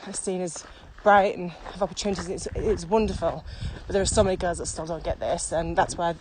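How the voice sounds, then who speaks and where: British woman chatting over clear outdoor noise. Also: on the low side, brisk. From United Kingdom. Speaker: podcast co-host. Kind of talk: casual conversational chat while walking. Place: outdoors, walking on a mountain path. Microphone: handheld recorder without wind cover. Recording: very noisy windy outdoor location recording.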